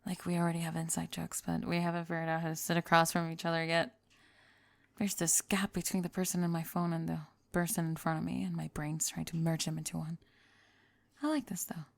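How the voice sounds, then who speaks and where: A woman's voice: fairly low pitch, level tone, fairly quick, vocal fry. A woman acting a famous young pop star on a date in an ASMR roleplay, confiding and hesitant, kind and playful but world-weary. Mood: playful, fond. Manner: confiding, hesitant, playful, trailing into soft murmurs. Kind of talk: intimate ASMR roleplay audio, one-sided date conversation.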